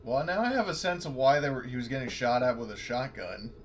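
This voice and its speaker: A man's voice: medium pitch, animated, a little fast, noisy background. A man playing in a tabletop roleplaying game, talking casually and rambling with restarts and backtracks. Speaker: player.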